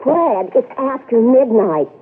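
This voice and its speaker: A woman's voice: a little high, very animated, takes it slowly. A woman playing a nagging, exasperated wife in a 1970s radio commercial. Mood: exasperated scolding. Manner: scolding, exasperated. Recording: phone-like vintage radio recording, faint noise.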